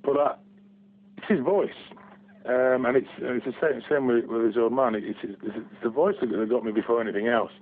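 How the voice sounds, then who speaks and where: A man's voice: medium pitch, moderate pace. Guy in his 40s on the phone, lots of ums, sounds tinny. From Barnsley, England. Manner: hesitant, many restarts and ums. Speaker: guest fan. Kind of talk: casual phone interview. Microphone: telephone.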